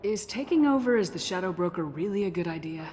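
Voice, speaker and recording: A woman's voice: fairly low pitch, lively intonation, fast talker, slight background noise, natural voice. A woman playing a tough, decisive soldier in a sci-fi action video game. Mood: questioning. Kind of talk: cinematic video game voice acting. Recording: loud music behind the voice.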